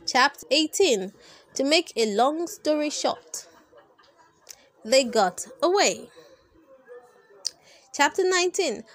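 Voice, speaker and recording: A woman reading a children's book aloud in an expressive, dramatic storytelling style with drawn-out emphasis. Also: sits high. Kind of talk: animated read-aloud narration for kids.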